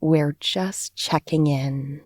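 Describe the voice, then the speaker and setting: Slow, husky woman guiding meditation. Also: sits low, no echo, studio quality. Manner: gentle, unhurried, instructional. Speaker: meditation guide. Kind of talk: guided body scan meditation, scripted narration.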